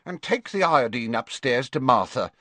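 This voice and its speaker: A man's voice: medium pitch, lively intonation, moderate pace, sharp tone, no room sound, pitched above the performer's own voice. A man voicing an anxious, harassed woman who runs an orphanage in an audiobook, flustered and blunt. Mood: harried.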